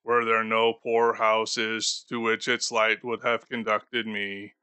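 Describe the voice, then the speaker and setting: A man voicing a doomed, grieving male ghost bound in chains, speaking slowly and gravely for an audiobook. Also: on the low side, flat delivery, bright, forceful tone, no echo. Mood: mournful. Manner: slow, solemn and grave. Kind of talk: audiobook character voice.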